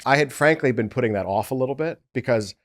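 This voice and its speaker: A man's voice: medium pitch, fast. A man speaking casually as an audiobook producer, recounting his work in a storytelling way and quoting his own thoughts. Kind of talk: casual behind-the-scenes conversation.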